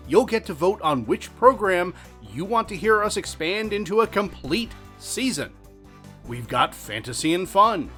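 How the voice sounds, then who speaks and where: A man's voice: a little high, a bit bright. A man reading a scripted promotional introduction as an announcer. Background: music under the voice.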